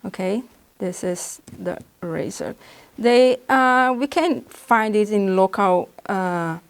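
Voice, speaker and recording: A woman from Brazil whose first language is Portuguese, speaking with a Brazilian Portuguese accent as she patiently demonstrates drawing supplies in a beginner art lesson, pausing often as she explains. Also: mid-range voice, smooth. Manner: patient, halting explanations with pauses. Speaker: art instructor.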